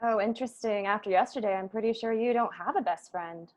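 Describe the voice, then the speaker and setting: A woman's voice: mid-range voice, brisk, lightly acted. A woman playing a blunt, snippy but loyal young woman in a script read-through.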